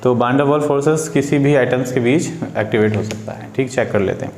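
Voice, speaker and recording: A man's voice: medium pitch, fairly quick, a little mellow. A man with an Indian accent teaching informally at a board as a science teacher, repeating key phrases and quizzing his listeners with questions. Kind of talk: informal board lecture for students. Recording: echoey room with faint background noise.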